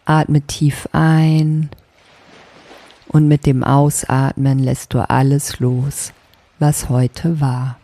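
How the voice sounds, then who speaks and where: A woman leading a guided sleep meditation as a yoga nidra teacher, speaking softly in a calming, deliberate way. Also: extremely low pitch, dry recording. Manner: soft, calming, deliberate instruction.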